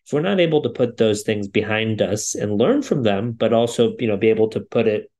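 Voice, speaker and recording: A man's voice: on the low side, expressive, fairly quick, darkish tone. A man co-hosting a podcast, giving a thoughtful solo recap in loosely strung sentences. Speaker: podcast co-host.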